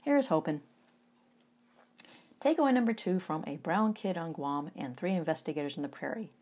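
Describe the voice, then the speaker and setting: A woman in her forties reads from her own memoir in a relaxed, conversational way. Her voice sits on the low side, and she drops in wry asides and animated bursts of emphasis. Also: moderate pace. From United States. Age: 40s. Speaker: author.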